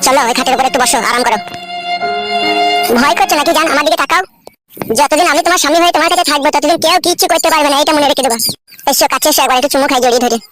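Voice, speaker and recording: A man's voice: extremely high pitch, very fast, music in the background. A man voicing a hot-headed, crude young husband in a comic cartoon, argumentative but protective of his partner. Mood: tender, reassuring.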